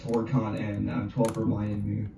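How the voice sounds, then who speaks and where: A man chats casually in a mid-pitched voice that sounds roomy and away from the mic. There is clear noise and some typing around him. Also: monotone, a little slow, recorded from a distance. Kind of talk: casual chat.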